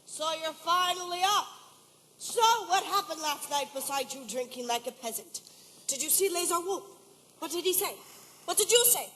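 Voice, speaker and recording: A woman playing a sharp-tongued, fervent older village wife and mother in a stage musical, projected and dramatic. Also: very high-pitched, moderate pace, a little hiss or hum. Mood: scolding. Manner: scolding, dramatic. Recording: echoey hall stage recording from afar.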